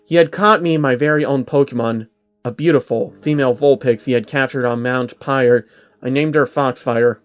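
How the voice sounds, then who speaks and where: A man's voice: medium pitch, fairly even intonation, normal speed, smooth. A man reading a scripted, dramatic story as narrator, on a slightly dull lo-fi recording with music behind him. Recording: dull lo-fi audio under music.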